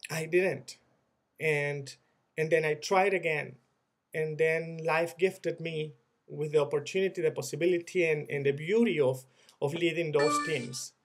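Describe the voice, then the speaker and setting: Venezuelan man, 30s, fairly high voice; reflective video message, earnest, restarts often. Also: steady tone, measured pace. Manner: earnest, reflective, with frequent repeated words and restarts. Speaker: former student-organization leader sharing experience.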